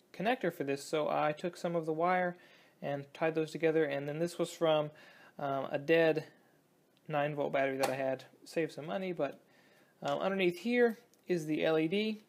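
A young man giving a casual hands-on demonstration as a hobbyist, talking in a halting, think-aloud way. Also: fairly high pitch. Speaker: hobbyist presenter. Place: indoors at a tabletop.

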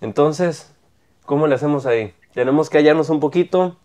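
A man's voice: fairly high pitch, brisk, a little mellow. A man in his thirties from Mexico with a Mexican accent, chatting on a studio podcast, often stumbling over words, repeating himself and laughing. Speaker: podcast co-host. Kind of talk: casual chat. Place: small studio with brick-wall set. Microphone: clip-on lapel mic.

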